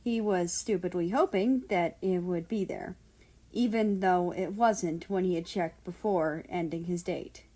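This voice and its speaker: A woman reading fiction aloud as an expressive narrator of a story. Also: fairly low pitch, a little slow. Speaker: fan fiction reader.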